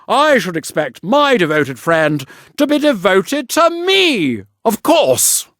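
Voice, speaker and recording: A man's voice: high-pitched, huge pitch swings, slow, a bit bright, no room sound, studio quality, pitched above the performer's own voice, full character voice. A man voicing a pompous, self-centred old male water rat in a storybook, with haughty, exclamatory delivery. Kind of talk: storybook character voice.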